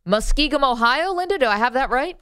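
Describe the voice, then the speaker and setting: A woman's voice: high voice, animated, a little fast, fairly bright tone, clean tone, no room sound. A woman hosting a sports radio show, chatting casually with callers.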